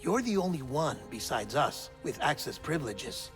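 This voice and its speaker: An actor playing an earnest, wry intelligence operative briefing a comrade in a video game cutscene, measured and pausing for drama. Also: male voice, medium pitch, very animated, natural voice. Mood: confiding, wry. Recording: game music behind the voice.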